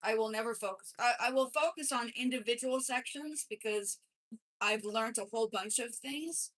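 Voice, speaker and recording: A woman's voice: fairly high pitch, moderate pace, fairly bright tone. Chatty woman in her forties. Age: 40s. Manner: chatty, rambling, self-correcting. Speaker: channel host. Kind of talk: casual chat to camera. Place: home studio with music playing. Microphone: swapped desk mic, then lavalier.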